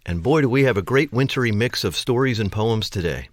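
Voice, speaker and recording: A man's voice: lowish voice, lively intonation, brisk, no echo. Man hosting a podcast, dry close sound. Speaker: podcast host. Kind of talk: scripted podcast introduction.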